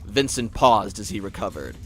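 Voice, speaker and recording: A man's voice: lowish voice, sing-song, moderate pace, slight background noise. A man narrating scripted fiction as a dramatic storyteller.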